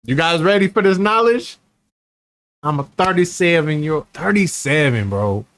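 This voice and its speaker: Lively, dry-voiced streamer man in his thirties. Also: a little high, moderate pace, dry recording. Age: 30s. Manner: mocking, laid-back banter with slang. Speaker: streamer reacting to a video. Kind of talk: casual reaction commentary.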